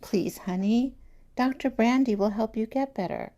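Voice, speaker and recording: A woman's voice: fairly high pitch, normal speed, mellow, close to an everyday voice. A woman playing a stern, worried mother of a teenager in an audio horror drama. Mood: pleading.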